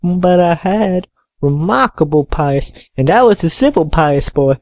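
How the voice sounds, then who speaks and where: A hoarse, rusty-voiced old castaway drawls slowly and loudly, his words dragging out, as a woman reader puts him on. The voice sounds set apart from the narration, in a dry, close recording. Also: on the low side, animated, no echo. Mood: rambling. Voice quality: hoarse, awkward, slow drawl. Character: ragged half-crazed old male castaway. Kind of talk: audiobook character voice.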